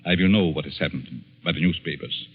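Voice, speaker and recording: An actor in a 1940s-style radio drama playing a sinister, calculating professor, measured and insinuatingly persuasive. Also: male voice, rumbling low, slight background noise. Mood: coolly instructing. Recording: muffled old radio recording, long reverb.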